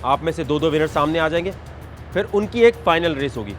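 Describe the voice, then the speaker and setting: A man's voice: a little high, expressive, brisk. Stern male reality show host. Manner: stern and mocking with the contestant. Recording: music loud behind the voice.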